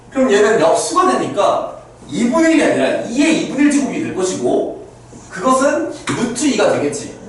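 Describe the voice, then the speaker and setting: A man of about thirty teaches a math class, walking students through each step and checking after each one that they understood. His voice sits high and swoops up and down. He is recorded from across a very echoey classroom. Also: a little hiss or hum. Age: around 30. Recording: very echoey classroom, mic far off.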